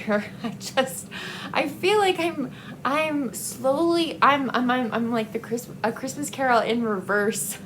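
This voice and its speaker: A woman book vlogger talks casually and haltingly, with a noticeable creak in her voice. She rambles and pauses often as she feels for her words. There is faint room noise behind her. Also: a little high. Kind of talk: casual book review chat to camera.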